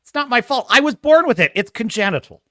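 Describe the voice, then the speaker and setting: A man's voice: very high voice, lively intonation, quick pace, a bit bright, no room sound, studio quality. A man hosting a casual livestream, chatting and reading viewer comments, with a self-described whiny, nerdy voice, rambling and laughing often. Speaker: host.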